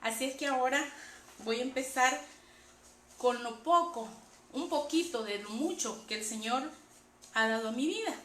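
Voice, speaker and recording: A Salvadoran woman with a fairly high voice shares a religious testimony. She talks conversationally, checking in with her listeners as she goes. The room is clearly echoey, with faint noise behind her. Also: animated, normal speed, long pauses, a bit bright. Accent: Salvadoran Spanish. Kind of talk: personal religious testimony to an online audience.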